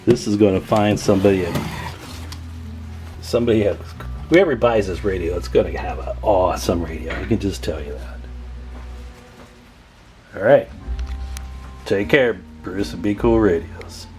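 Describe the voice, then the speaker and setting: Man, lowish pitch, casual video host, chatty, folksy, enthusiastic bragging, hands-on repair commentary, music in the recording. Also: normal speed, long pauses, a little mellow, rough recording.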